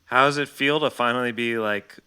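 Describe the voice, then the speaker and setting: A man interviewing someone in a casual, joking way, with a mock-serious manner and halting phrasing as he forms his questions. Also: medium pitch, bright, forceful tone, clear, resonant tone.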